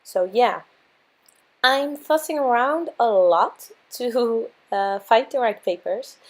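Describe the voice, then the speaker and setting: A young woman with a hoarse, worn-out voice, casually narrating her craft process as a channel host, with frequent fillers and self-corrections. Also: a little high, slow pace.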